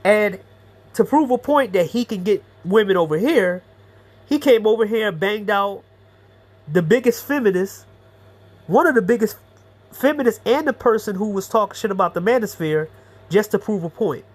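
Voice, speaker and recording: A man's voice: high-pitched, lively intonation, moderate pace. A man giving a blunt, profane, rambling commentary monologue as a YouTuber.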